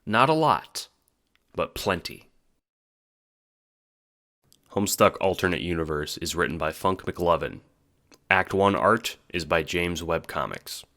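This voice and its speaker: A man's voice: low voice, pushed and bright. A man reading scripted story narration for an audio drama, as its narrator.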